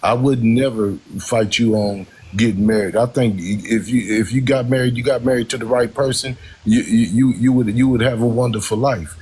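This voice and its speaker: A man of sixty on a live stream panel, speaking slowly and haltingly with many fillers and repeated words. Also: medium pitch, a little mellow, husky, low-quality recording. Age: 60s. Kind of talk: casual panel talk.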